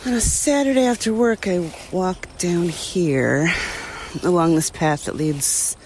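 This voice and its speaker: A woman playing a quiet, lonely middle-aged churchgoer who recounts her past haltingly and plainly, as in a documentary interview. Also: on the low side, a little hiss or hum. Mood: subdued, reflective. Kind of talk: audio drama mock-documentary interview.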